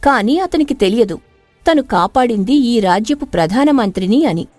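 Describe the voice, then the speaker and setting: Lady narrating a moral story, very expressive, dry studio sound, light music behind. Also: a little high, moderate pace, no echo.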